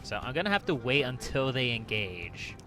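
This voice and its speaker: A man's voice: medium pitch, sing-song, normal speed, a bit bright, soft background music. A man giving casual let's play commentary on a video game, thinking aloud in a wry, resigned way. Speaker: gaming channel host.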